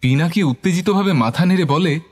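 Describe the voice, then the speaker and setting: A man's voice: fairly high pitch, fairly quick, a little mellow. A man giving scripted, dramatic narration of a horror story.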